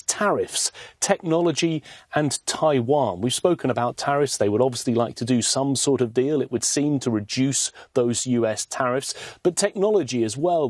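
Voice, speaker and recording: A man reporting live as a news correspondent, explaining in articulate, measured phrases. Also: on the high side, a little mellow, no room sound, studio quality.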